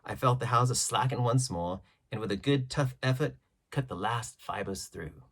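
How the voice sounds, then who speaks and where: A man's voice: mid-range voice, normal speed. A man reading a classic adventure story aloud as scripted literary narration. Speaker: audiobook reader.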